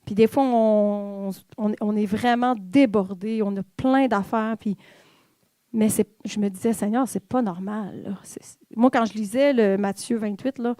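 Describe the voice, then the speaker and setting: A woman's voice: medium pitch, warm tone, dry recording. A woman with a Québécois French accent giving an informal, conversational sermon in church, with fillers and the odd whispered aside. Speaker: church speaker.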